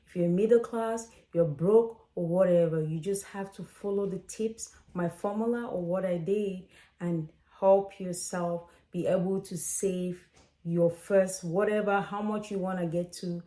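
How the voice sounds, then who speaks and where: A woman's voice: on the low side, mellow. Chatty woman from Cameroon, echoey room. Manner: chatty, direct, addresses viewers as "guys". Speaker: financial coach and host. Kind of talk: casual to-camera advice vlog.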